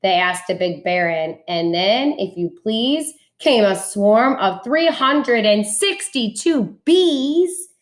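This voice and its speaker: A woman reads a children's storybook aloud in a dramatic, deliberate rhyming delivery. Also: medium pitch, expressive, reverberant. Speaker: teacher reading a picture book aloud.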